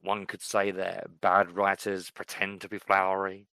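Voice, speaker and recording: Male podcast co-host, deep voice, dry close mic, thoughtful and meandering. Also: monotone, measured pace, vocal fry, sharp tone, smooth, no echo. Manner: thoughtful, rambling with fillers and restarts. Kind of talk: casual chat about books and fiction.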